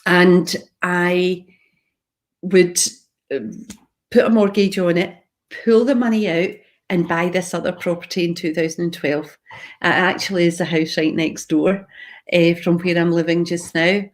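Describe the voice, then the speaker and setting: A woman's voice: on the low side, fairly even intonation, unhurried. A middle-aged woman with a Glasgow Scottish accent, telling her own story in a chatty live talk, going off on tangents and asking viewers questions directly. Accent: Glaswegian Scottish. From Scotland, Glasgow. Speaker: public speaker.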